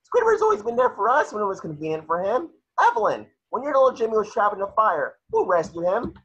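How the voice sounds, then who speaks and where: A man plays an optimistic cartoon sea sponge, boyish and earnest. The voice is husky and lively, swooping up and down as it rallies everyone, on a dull lo-fi microphone. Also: sits high, quick pace, exaggerated voice. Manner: earnest, rallying, theatrical. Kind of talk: cartoon table read.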